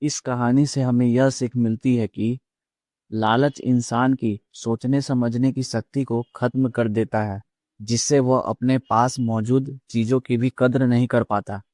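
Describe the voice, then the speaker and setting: Male narrator for scripted moral summary, medium pitch, dry recording. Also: steady tone, measured pace, darkish tone, dry recording.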